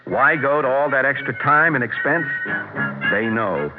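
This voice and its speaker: A man's voice: mid-range voice, lively intonation, measured pace, over loud music. A man reading a folksy, scripted 1970s radio commercial. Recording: tinny radio recording, loud noise.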